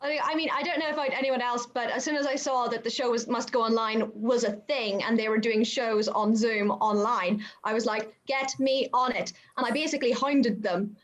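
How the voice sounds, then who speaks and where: A woman from Ireland, talking eagerly and quickly on a video call. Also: fairly high pitch, fairly even intonation. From Northern Ireland. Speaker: actor guest. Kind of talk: casual chat on a video call.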